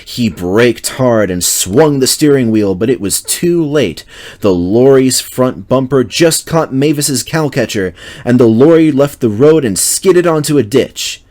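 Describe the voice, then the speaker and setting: A man's voice: mid-range voice, animated, a little slow, a little mellow. A man reading a children's story aloud as narrator, in a scripted, dramatic storytelling style.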